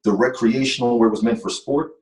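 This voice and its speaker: A man who works as a mind-body coach, a guest in a casual interview, explaining things in a relaxed way and repeating words. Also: medium pitch, quick pace. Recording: echoey from a distance.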